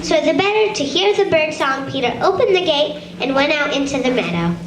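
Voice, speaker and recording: A female narrator with a very high voice reads a storybook script aloud. She occasionally trips and restarts. The room around her rings with echo. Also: lively intonation, fairly quick, a crowd in the background. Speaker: narrator of a shadow puppet show. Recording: echoey room.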